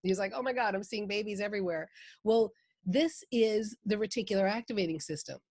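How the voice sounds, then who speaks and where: A woman's voice: mid-range voice, fairly quick, a little mellow, no room sound, clean studio sound. A woman from Minnesota with a Minnesota accent, a guest on a podcast interview, talking with enthusiasm and laughing often. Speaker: guest, mindset coach.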